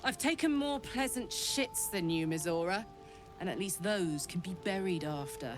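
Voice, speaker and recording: An actress playing a blunt, plain-spoken warrior woman with a soft heart in a video game. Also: lowish voice, animated, normal speed, music in the background, natural voice. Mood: defiant.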